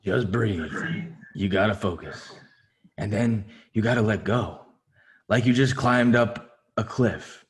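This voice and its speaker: Guy reading a crude calm jailbird, low voice, slow mocking coaxing. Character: calm, crude male prison inmate. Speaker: table read actor. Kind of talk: table read of a script.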